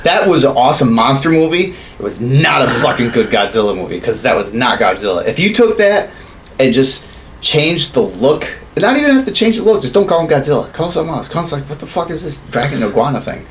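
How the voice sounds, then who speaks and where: A man's voice: mid-range voice, big pitch swings, fast talker. A man in his thirties co-hosting a podcast in a casual, opinionated chat, rambling and swearing often. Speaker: podcast co-host. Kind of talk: casual opinionated chat about films and games. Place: small home studio room, indoors. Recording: clear background room noise.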